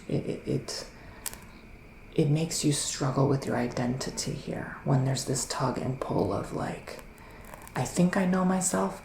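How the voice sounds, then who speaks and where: Male ASMR psychic witch: very slow, soft, whispery delivery, gravelly fry, mystical and hesitant. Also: fairly high pitch, reverberant, slight background noise, low-quality recording. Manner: hesitant, drawn-out, mystical musing. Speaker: ASMR performer playing a psychic reader.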